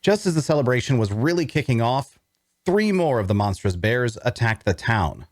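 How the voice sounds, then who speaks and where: A man's voice: medium pitch, very animated, moderate pace, no echo, clean studio sound, close to an everyday voice. An actor playing a sardonic, haunted necromancer outcast who narrates his own tale in a wry, theatrical way for an audio drama. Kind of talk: first-person dramatic narration, scripted.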